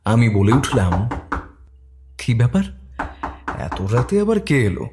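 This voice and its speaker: A man narrating a detective story in the first person with expressive delivery. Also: mid-range voice, slow, noisy background.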